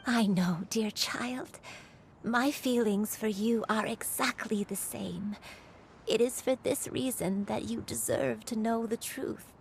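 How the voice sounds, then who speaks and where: A tender, soft-spoken old grandmother speaks slowly and gently for a fantasy game. Her voice sits fairly high. Beneath the warmth is an ancient crane spirit in human form. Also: vocal fry, slight background noise. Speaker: game character. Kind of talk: video game voice acting.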